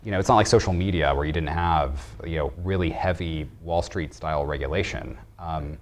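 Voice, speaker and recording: A man's voice: extremely low pitch, brisk. A man from industry speaking on a panel discussion, candid and hesitant with many fillers.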